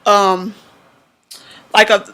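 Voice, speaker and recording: Bright-voiced woman hosting radio. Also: medium pitch, little pitch movement, very slow, rough recording. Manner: blunt, streetwise, encouraging pep talk. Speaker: radio show host. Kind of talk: casual radio interview, motivational advice.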